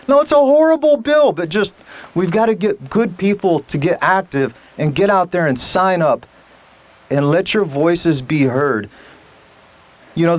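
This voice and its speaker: A man's voice: on the high side, no echo. A man giving an emphatic rally speech as a legislator, with rhetorical questions and rousing appeals to the crowd.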